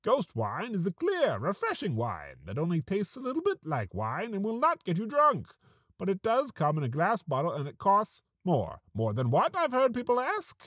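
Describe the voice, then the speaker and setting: Theatrical man narrating with wildly swooping pitch. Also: wildly swooping pitch, fairly bright tone. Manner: theatrical, mock-ominous, dramatic pauses. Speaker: host and narrator of a comedic horror podcast. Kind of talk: scripted second-person narration, mock-horror storytelling.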